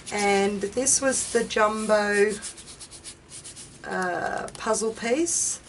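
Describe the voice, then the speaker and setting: An Australian woman with a slightly raspy voice from a chest infection, hosting a casual craft video in a rambling, self-deprecating way as she talks to herself. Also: mid-range voice, slight background noise, poor audio. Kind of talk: casual chatty craft demonstration.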